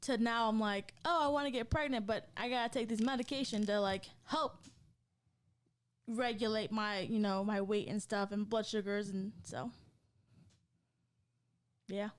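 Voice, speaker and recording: A woman's voice: mid-range voice, fairly even intonation, unhurried, long pauses. A woman in her thirties co-hosting a podcast, chatting casually and rambling with lots of fillers and frequent laughs. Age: 30s. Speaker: podcast co-host. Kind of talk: casual chat.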